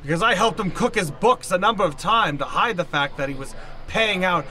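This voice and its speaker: A man's voice: high-pitched, animated, brisk, sharp tone. A man playing a blustering, eccentric older professor in an improvised radio comedy, theatrical, pompous and scolding. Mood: indignant, explaining. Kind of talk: improvised old-time radio comedy acting.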